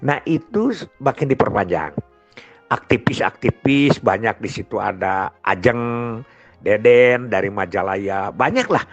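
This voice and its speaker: A man's voice: on the low side, measured pace. An older man with a West Javanese Sundanese-region Indonesian accent, talking informally and ramblingly like a grandfather telling of past experiences. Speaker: storyteller. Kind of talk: informal reminiscing monologue. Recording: dull lo-fi sound with music behind. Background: music.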